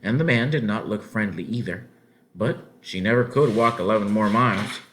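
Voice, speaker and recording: A man narrating a children's novel aloud in an expressive storybook style. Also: fairly low pitch, normal speed.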